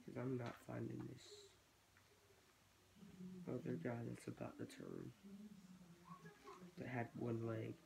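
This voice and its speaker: A teenage boy chats loosely about his hobby in a small bedroom, thinking aloud as he goes. His voice is stuffy from a cold and has a gravelly fry at the low end. The webcam recording carries a clear hiss of background noise. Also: very animated, slow pace, long pauses. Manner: rambling, thinking aloud while working. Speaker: reptile-keeping vlogger. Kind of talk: casual hobby vlog. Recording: noisy home webcam recording.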